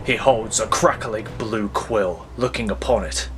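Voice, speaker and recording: A man's voice: fairly low pitch, measured pace, over music. A man narrating a scripted superhero audio drama in a dramatic style.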